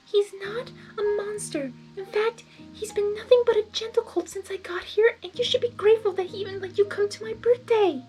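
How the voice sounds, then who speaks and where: A woman voicing a shy, gentle young female pony who speaks softly and pleads. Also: very high-pitched, fairly even intonation, normal speed, faint music behind, voice pushed up, low-quality recording. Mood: soft pleading. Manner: soft, timid and pleading.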